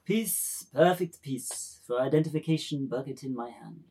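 A man's voice: mid-range voice, moderate pace, warm tone, slightly rough. A man acting belligerent and pompous male characters in a declamatory dramatic table reading. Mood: solemn, pompous. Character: belligerent soldier, pompous king and ranting patriot.

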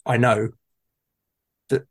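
A man in casual, reflective conversation, speaking in halting, thoughtful phrases. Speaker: podcast speaker, solo gamer.

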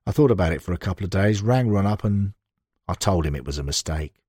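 A man plays a frank male football manager looking back in an audiobook interview. He is blunt and conversational, his pitch leaping about for emphasis, recorded dry and close. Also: animated, quick pace, darkish tone, husky, no echo. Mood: indignant, candid. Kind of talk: acted interview quotations in an audiobook.

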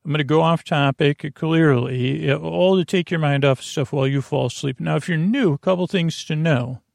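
A man hosting a bedtime podcast in a creaky voice, meandering through tangents and interrupting himself in a lulling, soothing manner. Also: a little high, normal speed, dry recording, clean studio sound. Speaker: podcast host. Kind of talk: rambling bedtime podcast monologue.

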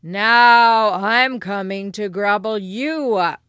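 A woman's voice: mid-range voice, takes it slowly, fairly bright tone, clean tone, dry recording. A woman voicing a great ugly, menacing bridge troll with a roaring, threatening manner in a storybook tale.

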